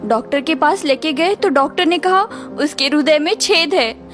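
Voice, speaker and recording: A woman's voice: high voice, expressive, moderate pace, fairly bright tone, faint music behind. A woman voicing a distraught housemaid and desperate mother in a children's cartoon story, tearful and pleading. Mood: tearful despair. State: tearful, sobbing.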